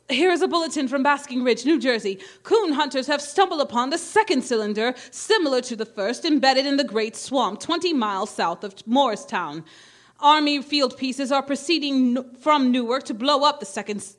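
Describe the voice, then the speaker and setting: A high-voiced female news announcer in an old-time radio drama. She reads each bulletin formally and in clipped phrases, with earnest urgency. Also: a bit bright.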